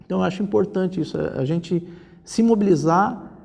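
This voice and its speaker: Brazilian guy in his 40s explaining stuff in an interview, kinda creaky mellow voice, big echoey room. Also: high-pitched, fairly quick. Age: forties. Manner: explanatory, often seeks agreement with tag questions. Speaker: interview guest, social security lawyer. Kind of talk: conversational explanatory interview talk. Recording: reverberant hall-like room.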